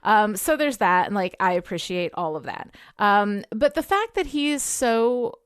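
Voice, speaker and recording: A woman's voice: medium pitch, lively intonation, a little slow, smooth, no room sound, studio quality. A woman co-hosting a podcast, talking casually and emphatically with blunt, sweary opinions. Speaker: podcast co-host, TV show critic.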